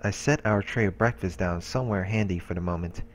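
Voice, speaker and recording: Low-voiced guy reading out a visual novel, tosses in little comments, faint hiss behind. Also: brisk. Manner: steady reading with casual asides. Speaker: let's play host. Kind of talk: reading game text aloud.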